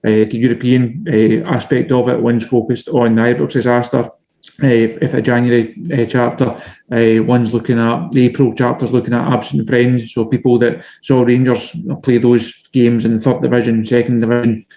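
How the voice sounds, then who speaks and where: Flat-toned Scottish man, a guest. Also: medium pitch, poor audio. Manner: measured, steady, with frequent restarts. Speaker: journalist and author guest. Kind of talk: casual podcast interview.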